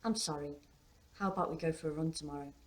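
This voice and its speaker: Casting: a woman as a troubled, earnest father in a dramatised novel, low and restrained, few words. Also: quick pace, natural voice. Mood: earnest, apologetic. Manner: quiet, terse, earnest.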